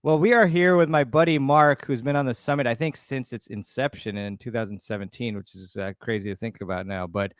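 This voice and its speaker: A man's voice: medium pitch, a little fast, few pauses, dry recording, clean studio sound. A man hosting an online interview, upbeat and friendly, with lots of fillers. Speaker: podcast host.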